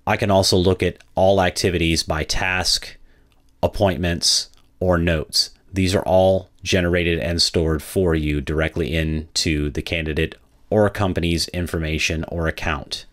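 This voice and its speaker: Deep voiced guy doing a software walkthrough, explains stuff step by step. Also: steady tone, measured pace. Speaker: software demo presenter. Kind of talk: product walkthrough narration.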